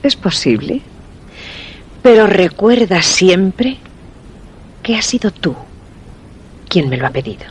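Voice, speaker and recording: A woman's voice: mid-range voice, sing-song, slow, long pauses, a little hiss or hum. An actress playing an enigmatic, guarded young woman in a radio play, speaking tersely and evasively but firmly. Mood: quietly firm. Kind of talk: Spanish radio play acting.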